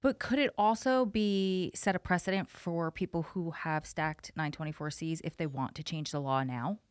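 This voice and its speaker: A woman's voice: sits low, normal speed, clear, resonant tone, no room sound, studio quality. A woman in casual conversation as a podcast co-host.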